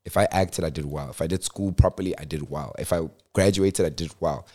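A man's voice: deep voice, brisk. A man in his twenties from South Africa, co-hosting a podcast in casual, slangy chat. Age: 20s. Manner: relaxed, slangy, laughs often. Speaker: podcast co-host.

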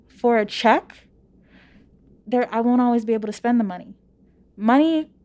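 A college student in her twenties from Louisiana, telling her own story in a casual interview with lively, emphatic rhetorical questions. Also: a little high. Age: 20s.

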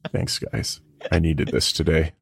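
A man playing a laid-back Satan as a deadpan, understated sidekick in casual podcast banter. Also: rumbling low, creaky, husky, dry recording, studio quality, full character voice.

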